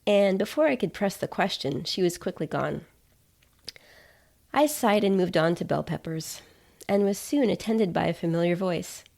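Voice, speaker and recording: A woman reads her own scripted first-person narration. Her voice sits slightly low with noticeable fry, and her delivery is conversational and wry, like a writer hosting a podcast. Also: animated, normal speed, darkish tone.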